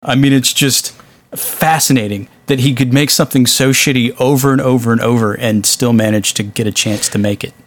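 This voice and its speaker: A man hosting a casual podcast discussion of films, talking with animated exasperation and swearing freely. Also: medium pitch, brisk. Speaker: podcast host.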